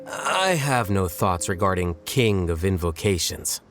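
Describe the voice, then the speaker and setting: A man voicing an aloof, cool-headed young intellectual in a video game, deadpan and matter-of-fact. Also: deep voice, a little slow, over music, exaggerated voice. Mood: detached. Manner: detached, deadpan.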